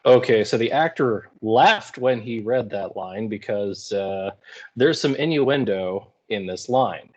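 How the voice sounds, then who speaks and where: A man giving an informal lecture as a high school English teacher, explaining in colloquial paraphrase. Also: animated, slow, highs cut off.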